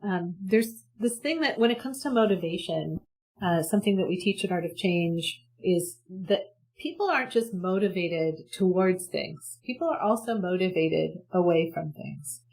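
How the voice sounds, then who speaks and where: A woman's voice: on the low side, expressive, normal speed, creaky, darkish tone, rough recording. A woman guest in an interview, talking earnestly and hopefully about communication in a chatty way with many restarts. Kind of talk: conversational interview.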